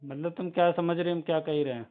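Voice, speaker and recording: A man's voice: on the high side, steady tone, fast, lightly acted. A man playing a hesitant, mildly chiding brother-in-law in a phone conversation, hedging and repeating himself with questioning hums. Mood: quizzing, chiding. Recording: tinny narrowband phone-call audio.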